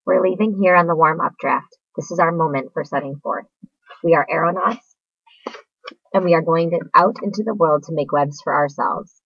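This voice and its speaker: A reader doing small, calm talking spiders. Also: mid-range voice, moderate pace, gravelly, breathy edge, no echo. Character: small calm spiders, polite and matter-of-fact. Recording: slightly dull, muffled recording.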